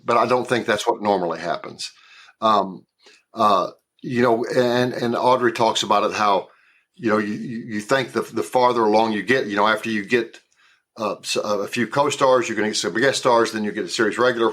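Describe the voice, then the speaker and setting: A man's voice: medium pitch, a bit bright. A man in his sixties with a Southern US accent, an actor talking casually in an interview, often repeating words and restarting. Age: 60s. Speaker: actor guest.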